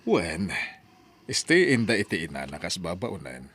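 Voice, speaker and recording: Man acting a low-voiced, expressive father. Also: a little slow, breathy edge, dry recording, exaggerated voice. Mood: calm, firm. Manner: paternal, admonishing. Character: well-off middle-aged father, kindly patron, gently scolding. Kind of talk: Ilocano audio drama acting.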